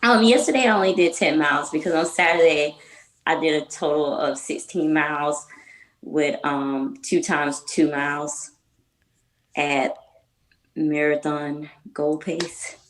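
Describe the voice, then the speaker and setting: A woman's voice: low-pitched, fairly even intonation, unhurried, poor audio. A woman from Atlanta, a runner and PE teacher answering interview questions in a friendly, upbeat way. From Atlanta, Georgia. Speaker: guest, marathon runner and PE teacher. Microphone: video call from home.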